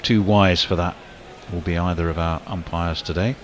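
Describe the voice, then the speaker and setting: A man's voice: sits low, steady tone, slight background noise. A man calling a cricket match ball by ball, lifting his voice when a big shot comes. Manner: brisk play-by-play with sudden lifts on big shots. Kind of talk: live sports commentary.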